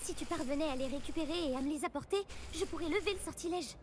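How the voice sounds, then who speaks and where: A woman voicing a high-voiced earnest young witch. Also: fast talker, natural voice. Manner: polite, explanatory. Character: young schoolgirl witch, earnest, bookish, a bit worried. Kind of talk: video game character dialogue.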